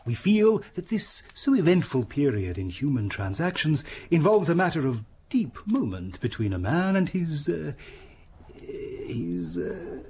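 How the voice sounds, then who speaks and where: Husky, pompous English gentleman. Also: on the high side, very animated, unhurried, exaggerated voice. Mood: pompous, imperious. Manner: pompous, blustering, hesitant, grandly sermonizing. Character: pompous, wealthy, self-satisfied gentleman and member of parliament. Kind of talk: period radio drama acting.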